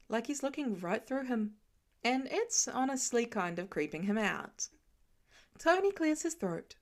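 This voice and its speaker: A woman narrating a fan fiction story aloud with expressive storytelling. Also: fairly high pitch. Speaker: podfic reader.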